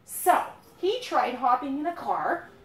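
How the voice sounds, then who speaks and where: A woman with a high voice reads a children's story aloud in an animated, swooping way. She is playful and dramatic, stretching words and putting questions to a young listener. The recording is roomy and distant, with some faint noise around her. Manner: dramatic build-ups with drawn-out words. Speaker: children's author reading her own picture book.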